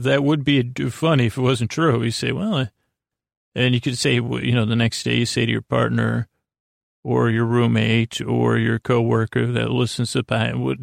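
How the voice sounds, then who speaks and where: Male sleep-podcast host, very gravelly, dry close sound; halting, self-deprecating rambler with gently goofy humor. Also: mid-range voice, no echo. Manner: meandering, halting, stuttering over words, self-deprecating; mildly silly, playful wordplay. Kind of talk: rambling bedtime monologue, loose storytelling.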